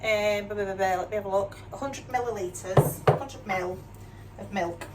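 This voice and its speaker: A woman's voice: mid-range voice, moderate pace. Chatty older Yorkshire woman in her kitchen. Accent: mixed northern English, Yorkshire. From Yorkshire, England. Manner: chatty, self-deprecating, asks tag questions. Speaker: cooking vlogger. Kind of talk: casual chatty cooking demonstration. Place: home kitchen. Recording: distant mic with clear background noise.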